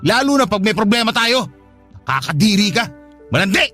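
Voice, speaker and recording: An actor playing an angry, jealous, belittling live-in partner in a radio drama, scornful and accusing. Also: male voice, high voice, very animated, fairly quick, bright, forceful tone, faint music behind, exaggerated voice.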